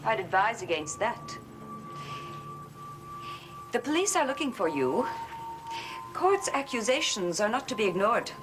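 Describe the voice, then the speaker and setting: A woman's voice: a little high, sing-song, a little slow, long pauses, over music. An actress playing a shrewd, composed woman in a gothic television soap, with a knowing, theatrical delivery. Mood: grave, knowing. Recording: noisy old television soundtrack.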